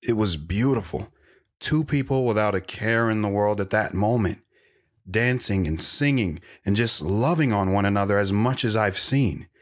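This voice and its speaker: Man playing a low-voiced wistful wanderer. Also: normal speed, lightly acted. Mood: fond, reflective. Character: wandering man recalling his boyhood wistfully. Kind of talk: first-person audio drama storytelling.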